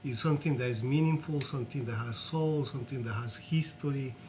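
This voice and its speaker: A man from Colombia with a Colombian accent, telling his story earnestly in halting non-native English as an interview guest. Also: mid-range voice, darkish tone, soft background music. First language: Spanish.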